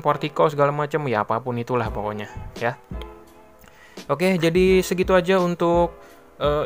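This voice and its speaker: A man's voice: fairly high pitch, fairly bright tone. A man gives a casual, unscripted product explanation, rambling and repeating himself with informal filler tags. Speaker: product reviewer and online seller. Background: background music.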